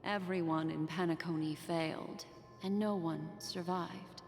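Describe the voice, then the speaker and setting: Casting: teasing, enigmatic fantasy woman narrator; slow, hushed bedtime delivery, long reverb tail. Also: fairly low pitch, fairly even intonation. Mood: soothing, mysterious. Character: mysterious, teasing, soothing female storyteller in fantasy game. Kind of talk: scripted game narration. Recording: long reverb, music behind. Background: game music.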